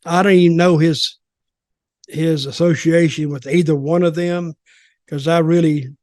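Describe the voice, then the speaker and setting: Older man with a fairly high voice. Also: steady tone, unhurried, a little mellow, no room sound. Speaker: wrestling commentator and podcast guest. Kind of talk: casual wrestling discussion.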